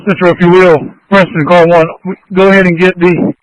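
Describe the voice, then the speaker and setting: High-pitched man on emergency radio. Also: level tone, brisk, no echo. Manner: terse, directive. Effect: two-way radio. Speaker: fire incident commander. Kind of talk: emergency radio dispatch traffic. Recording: crackly fire department radio transmission.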